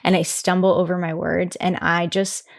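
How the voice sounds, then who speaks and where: Young woman, podcast guest, lowish voice, chatty, lots of fillers. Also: normal speed, gravelly. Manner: chatty, animated storytelling with many fillers. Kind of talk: casual conversation.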